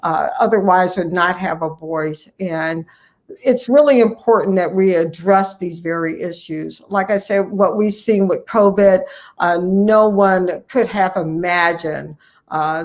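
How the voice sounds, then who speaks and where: Earnest woman physician in interview. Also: lowish voice. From United States, Ohio. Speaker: family physician, guest speaker. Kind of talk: conversational interview answers.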